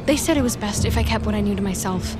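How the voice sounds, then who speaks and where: A woman's voice: medium pitch, fast, over loud music, lightly acted. An actress playing an anxious, evasive female office worker who hesitates and stammers defensively in an audio drama. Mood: defensive, agitated. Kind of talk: naturalistic audio drama acting.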